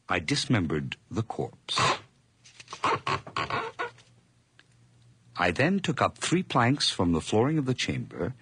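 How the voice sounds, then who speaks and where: An actor playing a mad, nervous murderer confessing in an old radio horror drama, theatrical and intense with whispers and outbursts. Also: male voice, low voice, creaky, noisy background, heavily acted. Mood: sly pride.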